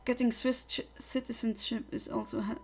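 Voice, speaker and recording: A woman's voice: on the high side, fairly even intonation, moderate pace, a little hiss or hum. Chatty young woman. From Luxembourg. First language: Luxembourgish. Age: young adult. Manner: chatty, opinionated, rambling. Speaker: livestreamer. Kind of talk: casual livestream chat.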